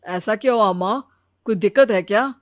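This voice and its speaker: Caring grown son in a moral tale, played by a woman, gentle manner, lower than her narration, close and dry. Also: moderate pace, dry recording, lightly acted. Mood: concerned. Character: caring, supportive young adult son. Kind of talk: animated Hindi moral-story acting.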